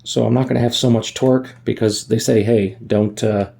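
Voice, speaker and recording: Man, heavy vocal fry, mellow tone, folksy, amateur tutorial narration, thinking aloud. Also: medium pitch, fairly even intonation, moderate pace. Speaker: amateur DIY video maker. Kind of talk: casual hands-on tutorial narration. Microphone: phone recording.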